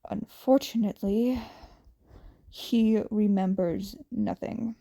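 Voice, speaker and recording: A grumbling, no-nonsense male hero acted by a woman reader from an audio story. He speaks tersely, with a creaky edge and a subdued, mellow tone. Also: mid-range voice, steady tone, slow. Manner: gruff, terse. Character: grumbling, stern adult male underground hero.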